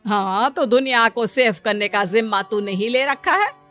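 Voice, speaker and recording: Lady doing a grumbly middle-aged dad in a cartoon story, sarcastic, kinda high voice, music behind. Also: normal speed, a bit bright, lightly acted. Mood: irritated. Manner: sarcastic, scornful, complaining. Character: grumbling, dismissive middle-aged father of a grown daughter. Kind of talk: acted cartoon story dialogue.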